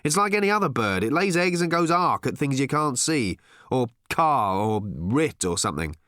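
A man's voice: on the high side, very animated, moderate pace, a bit bright, dry recording, clean studio sound. A man voicing a mild, exasperated, awkwardly polite everyman in an audiobook, hesitant and inclined to ramble. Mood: impatient. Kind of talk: audiobook character voice.

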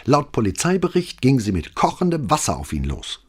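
A man's voice: mid-range voice, huge pitch swings, a little fast, breathy edge, voice pushed up. A man doing a cool, detached police lieutenant. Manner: casual, detached.

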